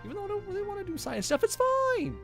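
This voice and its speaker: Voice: very high voice, sing-song, racing, gravelly, over music. A game streamer reading a visual novel aloud in a playful, theatrical way, stumbling over the text and reacting casually.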